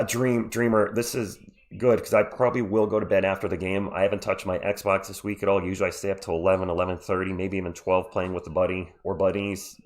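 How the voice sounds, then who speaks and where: A man who is sick with a cold, hosting a casual live play-by-play stream and chatting jokily with viewers. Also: on the low side. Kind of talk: casual sports commentary and chat with viewers.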